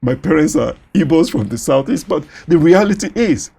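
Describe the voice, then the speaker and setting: A man with a Nigerian accent whose first language is Yoruba, talking as a doctor in a studio interview, animated and quick to laugh. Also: fairly high pitch, vocal fry. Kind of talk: conversational interview.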